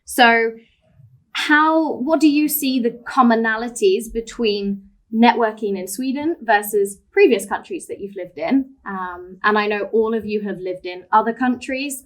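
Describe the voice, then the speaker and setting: British woman moderating a panel. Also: medium pitch. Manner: friendly, encouraging, asks follow-up questions. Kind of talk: hosting a panel discussion.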